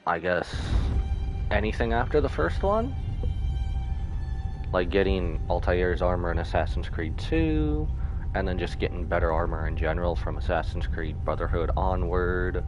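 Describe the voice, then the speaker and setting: A man with a low voice and lively, swinging intonation comments casually on a game he is streaming. He reacts in an offhand, slangy way, with faint music behind him. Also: moderate pace, pauses often, highs cut off. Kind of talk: casual live gameplay commentary.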